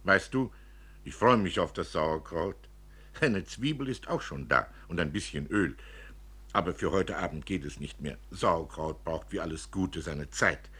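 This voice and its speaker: A fond, joking father in a radio drama, played by a man, caring and chatty as he fusses. The voice is husky and swings widely in pitch. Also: animated, measured pace, pushed and bright, heavily acted. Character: fond, joking father, fussing over his daughter. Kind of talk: radio play literary reading.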